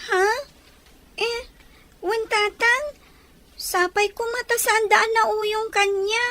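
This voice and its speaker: A woman's voice: very high-pitched, steady tone, slow pace, pushed and bright, full character voice. A woman voicing an anxious young girl who pleads with her father in a radio drama. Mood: uneasy. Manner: pleading, tearful.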